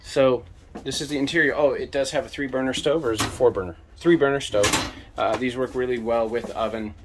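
A man's voice: medium pitch, a little fast. A man in his fifties gives an easygoing, enthusiastic tour of a small boat cabin, dropping plenty of "uh"s. His voice sits in an ordinary middle range. It is picked up by a handheld camera held at arm's length, with a light background hiss. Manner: relaxed, enthusiastic, filler-heavy. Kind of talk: casual walkthrough tour. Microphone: handheld camera mic at arm's length.

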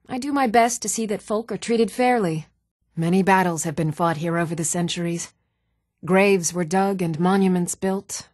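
A woman acting as dutiful fantasy game heroine, mid-pitched woman's voice, measured, earnest, formal, no room sound. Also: a little mellow, no echo, studio quality, natural voice. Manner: measured, composed, formal delivery. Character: even-toned adult woman of a fantasy realm, earnest, dutiful. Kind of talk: fantasy video game character dialogue.

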